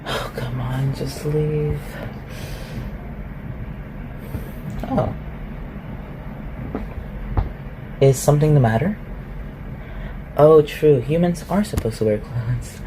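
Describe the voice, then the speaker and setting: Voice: mid-range voice, a little slow, mellow, slight background noise, close to an everyday voice, low-quality recording. A performer in an ASMR roleplay voicing an ancient, reclusive shapeshifter, haughty and awkward, with formal, archaic phrasing. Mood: awkward, indignant. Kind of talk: ASMR roleplay, scripted one-sided dialogue.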